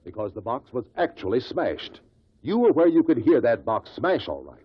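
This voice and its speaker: An actor playing a shrewd, relentless investigator who questions witnesses deliberately in a 1950s radio drama. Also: male voice, mid-range voice, sing-song, husky. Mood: accusing, insistent. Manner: deliberate, probing courtroom questioning. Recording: muffled vintage 1950s radio recording.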